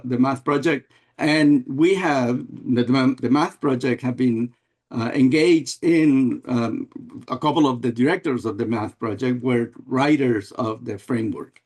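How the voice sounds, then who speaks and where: An older man from America, giving an unhurried webinar presentation over a video call and often restarting his phrases. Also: medium pitch, darkish tone. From Guatemala and El Salvador. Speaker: guest presenter, math educator.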